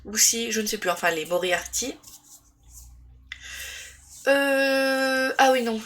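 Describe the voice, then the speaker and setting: A woman's voice: fairly high pitch, takes it slowly, a bit bright. A woman with a cold and a sore throat, chatting casually about books in a vlog, rambling with lots of fillers and restarts. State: congested with a cold. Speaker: booktuber. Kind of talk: casual book review vlog.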